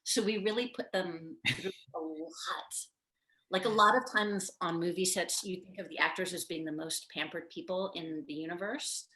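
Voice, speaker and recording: A woman's voice: fairly low pitch, sharp tone. Chatty woman producer in an echoey room. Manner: chatty, quick, laughing asides. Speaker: film producer, guest. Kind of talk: casual conversation. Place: living room with bookshelves. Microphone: video call, far camera.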